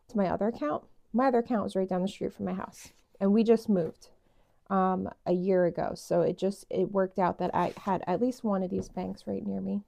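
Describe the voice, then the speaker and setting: A young woman vlogging casually about her budget, her voice raspy since she just woke up, chatty and rambling with fillers. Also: medium pitch, mellow. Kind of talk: casual chat to camera.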